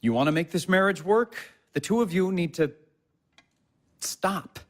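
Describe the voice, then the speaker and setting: A man's voice: sits high, lively intonation, a little slow. A man playing an earnest young husband, hesitant and conscientious, in a naturalistic audio drama. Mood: firm, frustrated.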